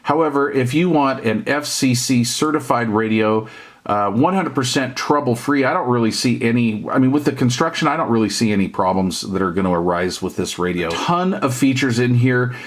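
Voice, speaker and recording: A middle-aged or older man giving a casual, off-the-cuff product review in a conversational way, with hesitant pauses. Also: medium pitch, expressive. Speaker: CB radio hobbyist and reviewer.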